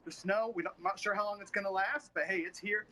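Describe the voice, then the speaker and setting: A young man giving an informal, excited live weather report outdoors as a student TV reporter. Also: high voice, fast. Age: young adult. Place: outdoors outside a campus building. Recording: noisy outdoor field audio.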